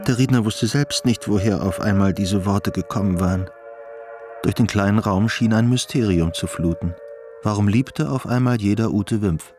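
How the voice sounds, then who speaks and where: A man's voice: fairly low pitch, normal speed, a little mellow. A man narrating a radio drama in a scripted literary style. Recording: music behind the voice. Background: music.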